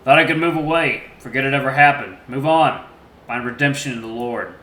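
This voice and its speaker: A despairing furry father, played by a man speaks in a ragged, worn-out voice. The tone is bright and strained, and there is a roomy echo with faint noise behind it. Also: medium pitch, brisk, close to an everyday voice. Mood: haunted despair. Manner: ragged, tired.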